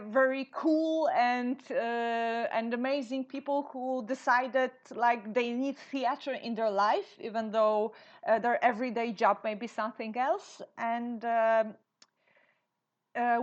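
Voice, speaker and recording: A woman with a Polish accent, in her thirties, answering interview questions slowly with many fillers and restarts. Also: a little high. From Poland. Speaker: guest, theater director and translator. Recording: dull lo-fi sound.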